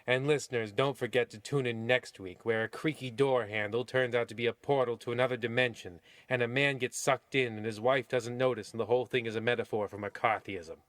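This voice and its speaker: A man performs a wry, ominous host of an old-time radio horror spoof. He speaks at a middling pitch with mock solemnity and deliberate pauses. The recording is very dry. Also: steady tone, a bit bright, no room sound, close to an everyday voice. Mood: wry sign-off. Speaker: narrator.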